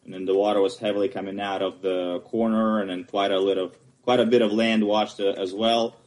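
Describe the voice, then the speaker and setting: Halting Ukrainian-accented man, lowish voice. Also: level tone, a little mellow. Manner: halting, searching for words. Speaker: homeowner appealing a property assessment. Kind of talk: explaining at a hearing.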